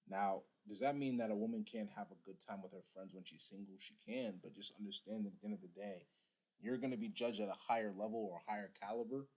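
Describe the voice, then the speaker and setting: A man with a fairly low voice, talking straight to his audience in a preachy, casual reaction commentary. His first language is Igbo, and he keeps checking in with listeners as he makes his points. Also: darkish tone, slightly rough. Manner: preachy, addresses viewers directly. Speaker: reaction streamer.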